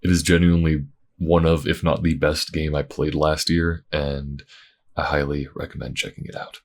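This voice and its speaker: A man's voice: very deep voice, little pitch movement, normal speed. A man giving a casual, enthusiastic outro to his listeners as the creator of the audio. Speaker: voice actor and creator.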